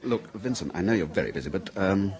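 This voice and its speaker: A man's voice: deep voice, animated, quick pace, darkish tone. An actor playing a nervous, hard-up male freelance writer who stammers and hesitates, in an old radio drama. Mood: nervous eager. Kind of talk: 1970s radio drama acting. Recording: old radio broadcast recording.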